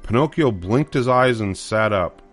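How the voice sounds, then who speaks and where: A man's voice: medium pitch, lively intonation, unhurried, soft background music. An older man narrating a children's storybook from a script.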